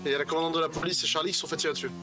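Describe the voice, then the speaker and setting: A man's voice: a little high, steady tone, noisy background, lightly acted. A man playing a caring, busy relative in a game roleplay, talking casually with some hesitation. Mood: concerned, informal. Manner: casual, hesitant, quick bursts.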